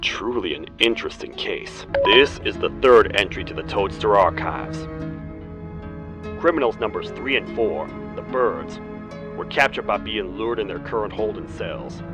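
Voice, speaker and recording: Casting: weary game toad lawman, low-voiced, grave and formal, music underneath. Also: animated, a little slow. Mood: solemn, matter-of-fact. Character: stern, weary male cartoon toad sheriff. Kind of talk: video game character acting, log narration.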